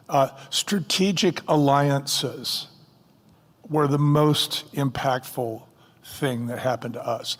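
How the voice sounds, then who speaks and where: A man, a company co-founder, speaking emphatically at a conference fireside chat and firing off rhetorical questions. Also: medium pitch. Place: conference stage. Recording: long hall reverb.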